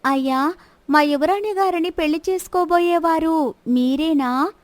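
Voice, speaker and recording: A bold young princess in disguise as a woman storyteller plays her. Her voice is high and she questions people in a probing, teasing way. The sound is close and dry, like an audio storybook. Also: unhurried, no echo. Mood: polite, probing.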